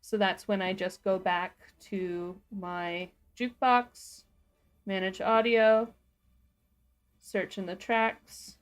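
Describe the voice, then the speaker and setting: A woman co-hosting a livestream, giving a casual step-by-step software walkthrough. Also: mid-range voice, fairly even intonation, takes it slowly. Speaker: livestream co-host and tutorial presenter; sound designer.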